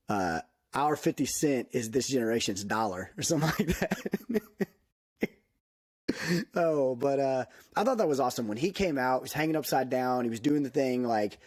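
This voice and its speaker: A man's voice: mid-range voice, a little mellow, dry recording, clean studio sound. A man in his thirties chatting casually as a podcast co-host, upbeat and full of fillers. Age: 30s.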